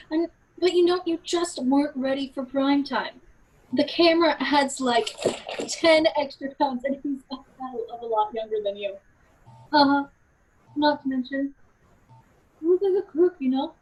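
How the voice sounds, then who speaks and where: A woman's voice: high voice, poor audio. A woman playing a brash, foul-mouthed female secret service boss, sarcastic and scolding, in a comedy script read. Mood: breezy.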